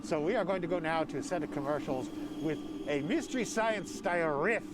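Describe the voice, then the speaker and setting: A man's voice: a little high, lively intonation, brisk, heavy background noise, lightly acted. A man playing a theatrical, scheming winter warlock who hosts with rambling, mock-grand comic banter. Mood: jovial. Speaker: co-host of a Halloween audio drama showcase. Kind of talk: improvised comic horror-host banter.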